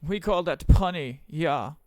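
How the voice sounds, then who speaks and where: A man live-streaming a game, chatting casually with viewers in a rambling, profane, joking way, his voice slightly raspy. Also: a little high, a little slow, darkish tone, no room sound.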